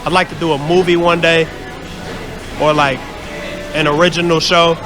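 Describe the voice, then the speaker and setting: A man from St. Louis, a music producer, answering interview questions in a relaxed, reflective way. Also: on the high side, moderate pace. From St. Louis, USA. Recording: music playing behind.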